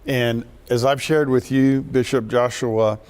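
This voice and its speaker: American guy hosting a faith show, middle-aged, eager, average pitch. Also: flat delivery, measured pace, clear, resonant tone. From United States. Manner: enthusiastic, warm-hearted presenter. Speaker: broadcast host.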